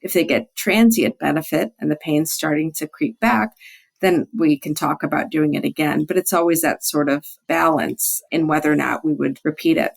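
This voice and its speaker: A woman physician explaining things as an interview guest, informal and measured in how they qualify each point. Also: low-pitched, vocal fry, husky. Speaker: physician guest, pain specialist. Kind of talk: conversational expert explanation.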